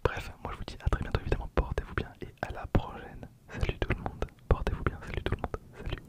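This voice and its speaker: Whispering man, close-up ASMR. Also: fast. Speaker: ASMR video creator opening trading cards. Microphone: close handheld stereo recorder.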